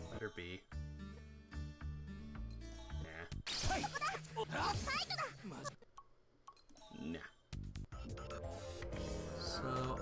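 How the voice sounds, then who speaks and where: A man playing a visual novel on stream, commenting casually and reading the game's text aloud, sometimes stumbling and correcting himself. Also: mid-range voice. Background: game music.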